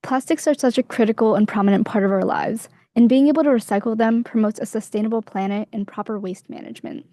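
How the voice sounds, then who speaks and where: A woman's voice: on the high side, fast talker, hardly any pauses, warm tone, dry recording, studio quality. A young adult woman hosting an interview, asking scripted questions.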